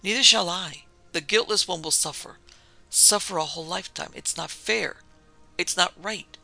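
A man voicing an earnest, anxious young son in a storybook, halting and pleading but stubbornly defiant. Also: fairly high pitch, vocal fry, bright, forceful tone, faint music behind, heavily acted, rough recording. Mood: protesting.

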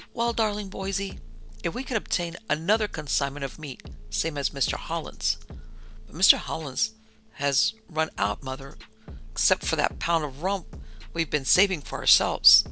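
A man's voice: a little high, lively intonation, normal speed, gravelly, sharp tone, faint music behind, heavily acted, rough recording. A man voicing a coquettish, scheming, doting mother in a cooing, coaxing storybook manner. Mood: coy, scheming. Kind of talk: dramatised storytelling.

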